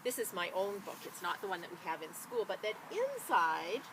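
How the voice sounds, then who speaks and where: A woman who works as a teacher librarian, talking and reading a picture book to children in an expressive, enthusiastic way. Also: fairly low pitch, normal speed, noisy background. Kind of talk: children's story read-aloud with casual introduction.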